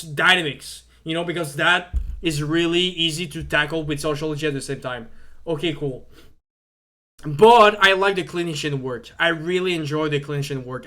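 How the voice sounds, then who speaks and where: A man's voice: on the high side, pushed and bright. A young man whose first language is French, talking casually on a live stream, rambling with lots of fillers and stumbling restarts.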